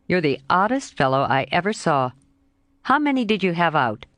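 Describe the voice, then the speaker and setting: A woman voicing a cheerful, teasing young man in a slightly lowered, coaxing voice. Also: sing-song, normal speed, fairly bright tone, dry recording. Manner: lightly lowered, coaxing, playful.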